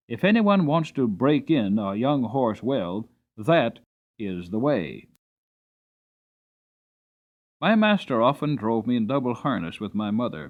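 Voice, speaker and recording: A man's voice: mid-range voice, phone-quality sound. A man voicing a spirited, earnest horse recalling his youth in a dramatic, emphatic audiobook reading. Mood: calm, assured.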